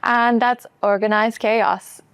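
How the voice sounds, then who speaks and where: A woman's voice: on the high side, takes it slowly, clear, resonant tone, no room sound. A young woman, a podcast guest who laughs easily and murmurs agreement often. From Ireland. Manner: giggly, animated, lots of agreeing murmurs.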